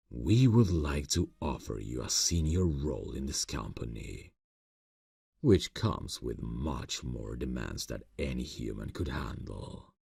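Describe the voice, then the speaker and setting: Cavern-deep, gravelly ancient vampire boss. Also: male voice, dark tone, studio quality, heavily acted. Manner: slow, formal, silky, deliberate. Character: ancient male vampire boss, courtly, seductive, quietly menacing. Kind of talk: ASMR fantasy roleplay audio drama. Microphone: close microphone, dry sound.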